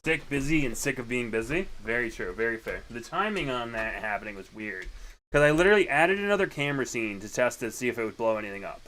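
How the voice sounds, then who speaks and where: Rambling young male streamer, deep voice. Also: sing-song, quick pace, a bit bright. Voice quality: deeper voice. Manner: rambling, self-correcting, chatting to viewers. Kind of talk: casual stream chat while setting up.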